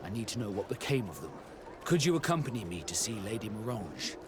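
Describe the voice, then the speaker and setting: An actor playing a searching, formally spoken sailor companion in a video game. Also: male voice, lowish voice, big pitch swings, brisk. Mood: earnest. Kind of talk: dramatic video game dialogue. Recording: clear outdoor ambient noise behind.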